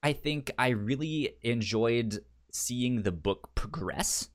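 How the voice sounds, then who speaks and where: A man's voice: mid-range voice, animated. Guy cohosting a podcast, keeps stumbling and restarting his words, just chatting casual. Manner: halting, many stammered restarts. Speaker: podcast co-host.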